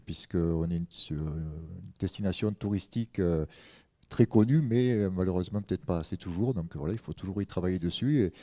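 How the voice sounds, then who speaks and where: A man's voice: low-pitched, warm tone. A man giving spontaneous remarks as a local official on a panel, in hesitant phrases padded with small fillers.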